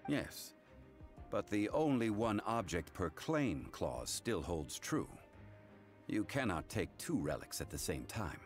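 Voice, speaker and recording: An actor playing a calm, learned, unyielding gentleman in a video game, speaking in a measured, formal way. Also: on the low side, faint music behind. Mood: firm, measured. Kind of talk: English game voice acting.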